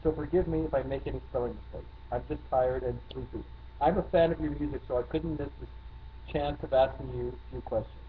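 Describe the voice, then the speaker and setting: A man's voice: mid-range voice, fairly quick, close to an everyday voice. A man reading out a sleepy young fan's message in a put-on Polish accent. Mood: earnest. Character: mock-Polish male fan, tired and sleepy. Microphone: video call. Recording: tinny lo-fi line with faint noise.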